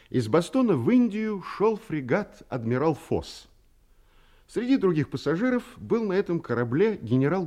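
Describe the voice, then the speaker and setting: An actor playing a sailor who tells old sea legends in an audio drama, with measured, expressive storytelling. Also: male voice, fairly high pitch, exaggerated voice. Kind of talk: Russian audio drama acting.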